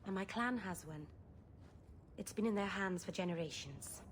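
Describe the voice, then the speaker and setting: An actress plays a young elf woman, a scholarly mage in a fantasy video game. She is earnest and stubborn, with a halting, imploring delivery over some background noise. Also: mid-range voice, lightly acted. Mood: defensive, insistent. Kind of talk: video game dialogue acting.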